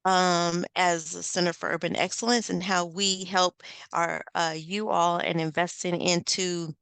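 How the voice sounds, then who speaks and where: A woman's voice: fairly low pitch, level tone, measured pace, gravelly, a bit bright, clean tone, no room sound. A woman hosting an online session over a video call, upbeat and encouraging, with lots of filler words. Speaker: host and facilitator.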